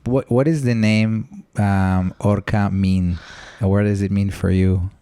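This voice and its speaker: A man hosting a podcast, interviewing a guest in an admiring, halting way. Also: fairly low pitch, fairly even intonation, dark tone, clear, resonant tone, no room sound, clean studio sound. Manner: admiring, halting, with many fillers and restarts. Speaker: podcast host.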